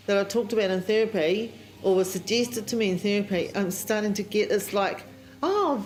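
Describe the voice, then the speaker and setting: A woman speaking openly and emotionally in an interview, halting now and then as she reflects. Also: fairly high pitch, a little hiss or hum, poor audio. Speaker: project participant. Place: near a park in New Zealand.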